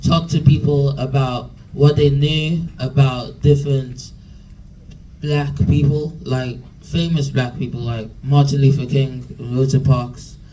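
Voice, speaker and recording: A man's voice: mid-range voice, fairly even intonation, takes it slowly, warm tone, far from the mic, poor audio. A young man, mentee telling a story to a room, chatty with many fillers and restarts. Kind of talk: nervous personal story at a talk. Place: room with an audience. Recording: from across a room, faint room noise.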